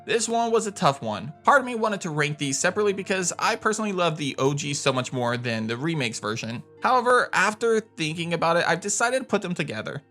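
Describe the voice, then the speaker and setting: A man's voice: a little high, sing-song, fast, few pauses, pushed and bright. A man hosting a gaming countdown video, narrating in a conversational, opinionated way. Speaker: host of a gaming video channel. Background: background music.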